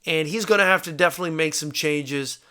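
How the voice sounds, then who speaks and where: Bright-voiced American man talking fights. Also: a little high, fast, clean tone. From South Florida, United States. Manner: conversational, addresses listeners as brother and my man. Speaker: combat sports show host and fight promoter. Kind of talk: casual live fight commentary, answering viewer comments.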